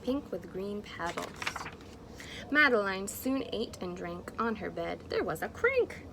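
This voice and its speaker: Female teacher, picture-book read-aloud, expressive, encouraging, slow delivery, noisy recording. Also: mid-range voice. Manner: expressive, encouraging, asks the children questions. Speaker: teacher reading to young children. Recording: clearly noisy background.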